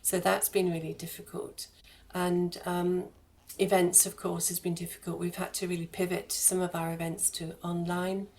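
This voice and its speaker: Low, even-toned woman over background noise. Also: moderate pace. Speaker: guest, charity head of fundraising and communications. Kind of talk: radio interview answers, explanatory.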